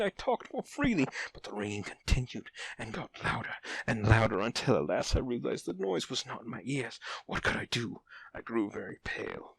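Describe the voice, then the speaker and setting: Gravelly, unhinged murderer confessing. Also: male voice, medium pitch, no room sound, rough recording. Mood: uneasy, mounting. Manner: intense, swinging from breathy whispers to frantic cries. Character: mad murderer, nervous man feverishly confessing his crime. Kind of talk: theatrical dramatic monologue, gothic horror audio drama.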